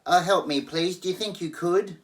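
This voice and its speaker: Man putting on a high, squealing little pig. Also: normal speed, close to an everyday voice. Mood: desperate. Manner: pleading, exclamatory. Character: frightened, squealing little pig pleading for help. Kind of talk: storybook character reading.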